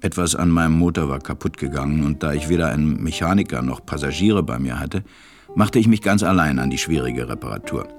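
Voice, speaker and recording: An actor playing a grown pilot who wistfully recalls his life as a storyteller in a radio play, measured and reflective. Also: rumbling low, faint music behind. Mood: wistful, wondering.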